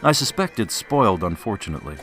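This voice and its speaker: A fastidious radio drama wine critic with a fairly low, swooping voice. He is refined, measured and very pleased with himself, and music plays behind him. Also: very animated, fairly bright tone. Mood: disdainful. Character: fastidious, self-satisfied male wine critic.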